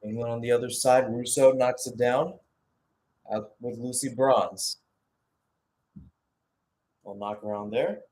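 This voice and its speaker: Male sports commentator for live football radio call; mid-pitched, halting in places, self-correcting, surging with the play. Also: a little mellow.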